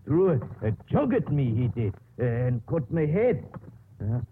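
Simple devoted country man, halting, swooping pitch, noisy vintage radio recording. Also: sing-song, narrow, tinny audio. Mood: halting, aggrieved. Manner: halting, speaks of himself in third person. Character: simple, devoted rustic English handyman. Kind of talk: 1950s radio drama acting.

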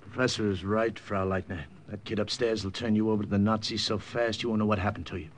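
A man's voice: fairly low pitch, fairly even intonation, fast, slight background noise, lightly acted. An actor playing a tough, wry undercover agent who narrates his mission in clipped old-time radio drama style. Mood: businesslike, terse. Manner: clipped, hard-boiled, wry. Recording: old radio recording with loud music.

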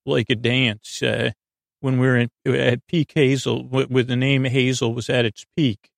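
A man hosting a sleep podcast, rambling in a meandering, stammering monologue with frequent digressions and a gravelly, creaky voice. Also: medium pitch, little pitch movement, a little slow, no room sound, clean studio sound. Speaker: podcast host.

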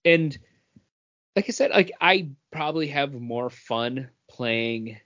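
A man's voice: medium pitch, expressive, slow, a bit bright, no echo, muffled recording. A man co-hosting a podcast in casual chat, thinking aloud and filling with many "like"s. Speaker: podcast co-host.

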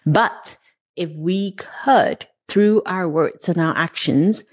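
A middle-aged woman with a fairly low voice hosts a conversational podcast. She sounds earnest and reflective, pausing to find her words as she chats with a guest. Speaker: podcast host. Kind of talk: casual conversational interview.

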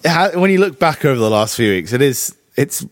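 A man co-hosting a casual podcast from his flat, dry and self-deprecating. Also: mid-range voice, fairly quick, no echo. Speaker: podcast co-host.

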